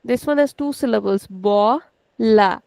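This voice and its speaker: Indian woman teacher, higher voice, dry close mic, patient, repeats each word twice. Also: creaky, a little mellow, dry recording. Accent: Indian English. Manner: slow, drawn-out demonstration of pronunciation, repeating words; switches into rapid Hindi asides. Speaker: language teacher. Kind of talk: online language lesson.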